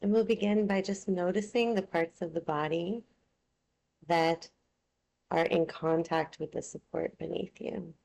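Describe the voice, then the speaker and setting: Casting: female meditation teacher, soothing and gentle, very slow delivery with gravelly vocal fry. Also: on the low side, fairly even intonation. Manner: soothing, unhurried, gently instructing. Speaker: yoga nidra meditation teacher. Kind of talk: guided relaxation meditation.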